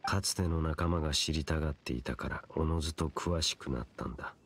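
Very deep, brooding knight. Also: male voice, fairly bright tone, slight background noise. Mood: grave, cool. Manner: solemn, curt, archaic and measured. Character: stoic, brooding male knight wanderer in a fantasy game. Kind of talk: Japanese anime game voice acting.

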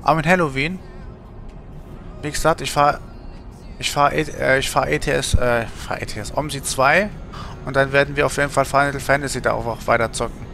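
A man in his thirties with a creaky, bright voice chats casually on a gaming stream. He rambles colloquially, thinking aloud as he goes, with faint music behind him. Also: mid-range voice, fairly even intonation, a little fast. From Germany. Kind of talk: casual livestream chat while gaming.

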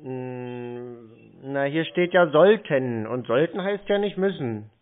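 A man on a phone call who picks at every word of a scam caller, dry and needling. His voice sits at an ordinary middle pitch. It comes through a thin, tinny phone line. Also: expressive, takes it slowly. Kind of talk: phone conversation.

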